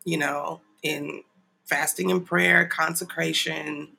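Man, stuffy nasal voice, heavy creak, bright tone, informal devotional livestream host. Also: a little high, level tone, slow, a little hiss or hum. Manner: informal, apologetic, chuckling asides. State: congested from a stuffy nose. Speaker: online prayer ministry leader and host. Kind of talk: casual livestream devotional talk to followers.

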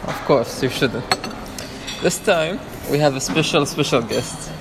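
A man's voice: on the high side, unhurried, background noise. A man hosting a podcast in casual chat, joking and teasing his guest. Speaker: podcast host.

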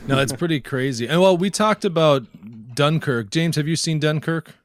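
A man's voice: a little high, normal speed, no echo. A man co-hosting a podcast, chatting casually and explaining things in a conversational way. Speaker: podcast co-host. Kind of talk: casual tech and gaming podcast chat.

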